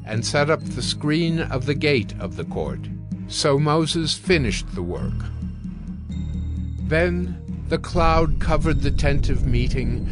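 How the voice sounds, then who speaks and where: A man narrating scripture from a script in a solemn, deliberate storytelling manner. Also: mid-range voice. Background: background music.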